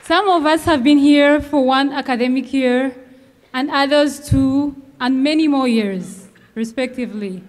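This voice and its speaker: A high-voiced young woman from Rwanda delivers an earnest commencement address. She speaks at a measured pace with emphatic pauses at a podium microphone. Her voice rings out with a long reverb from a large venue. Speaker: graduating student speaker. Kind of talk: commencement speech. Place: large outdoor ceremony venue.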